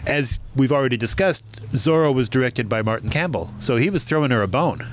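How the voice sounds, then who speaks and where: Wry, matter-of-fact podcast co-host. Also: male voice, mid-range voice, animated, brisk, slight background noise. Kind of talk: casual podcast chat, sharing bio facts.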